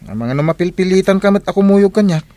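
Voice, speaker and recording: A man's voice: high voice, expressive, fast talker, warm tone. Frank, encouraging schoolboy friend, acted by a man. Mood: brisk, teasing. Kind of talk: Ilocano radio drama acting.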